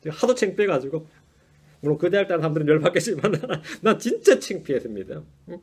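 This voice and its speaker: A male pastor preaches a Sunday sermon with a rather high voice that leaps up and down in pitch. He talks to the congregation in a chatty, self-deprecating way and keeps checking in with quick rhetorical asides. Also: slightly rough. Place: church sanctuary.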